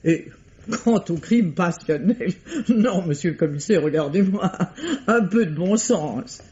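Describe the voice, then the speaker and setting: An actress playing an indignant, wry theatre director who defends herself volubly in a vintage French radio mystery. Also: female voice, on the low side, expressive, measured pace, dark tone. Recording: dull vintage radio recording.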